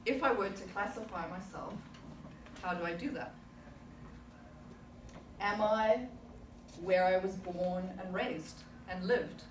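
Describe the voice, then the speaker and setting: A woman's voice: mid-range voice, slow, pauses often. A woman in her forties from South Africa gives a prepared, reflective speech full of rhetorical questions to a club audience. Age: 40s. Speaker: club speaker. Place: meeting room with audience. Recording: echoey room, distant mic, background noise.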